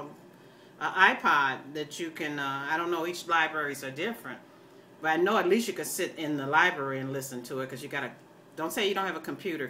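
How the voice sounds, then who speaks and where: An older American woman talks casually to her online viewers. Her voice is bright and forceful, and her manner is folksy, opinionated and plain-spoken. Some background noise sits behind her. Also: on the low side. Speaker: video blogger. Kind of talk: casual rambling chat to viewers.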